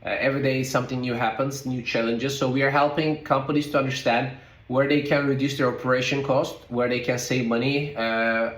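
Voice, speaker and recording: A man's voice: mid-range voice, reverberant, low-quality recording. A man from Turkey, a guest in an interview, answering in loose phrasing with many "uh" fillers. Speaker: guest, logistics company founder.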